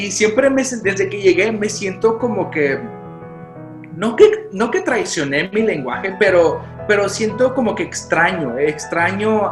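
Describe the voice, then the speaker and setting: A man who grew up in Chicago with Spanish as his first language speaks as a youth pastor in a casual interview. He stumbles and restarts his sentences often, slipping in English fillers. His voice sits in a roomy space with music playing underneath. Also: high voice. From Chicago, United States. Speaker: youth pastor and podcast guest.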